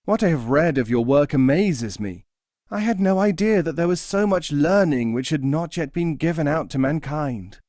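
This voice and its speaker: A man's voice: fairly high pitch, expressive, moderate pace, darkish tone, dry recording, clean studio sound, voice pushed up, close to an everyday voice. A man voicing a pious, eager male admirer in a teaching tale, gushing and awestruck. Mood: gushing admiration. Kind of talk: storybook teaching-tale character voice.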